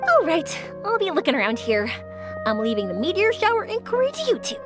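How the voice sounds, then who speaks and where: A friendly young anime game heroine, bubbly and enthusiastic. Her voice is very high and animated, with a breezy delivery full of bounce, over faint background music. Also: a little fast, full character voice. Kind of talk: English anime game voice acting.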